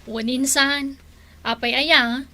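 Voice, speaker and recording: High-voiced young Filipino daughter. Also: female voice, fairly even intonation, takes it slowly, pushed and bright, full character voice. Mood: friendly, explaining. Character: exasperated grown daughter, young Filipino village secretary. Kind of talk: Ilocano radio drama acting.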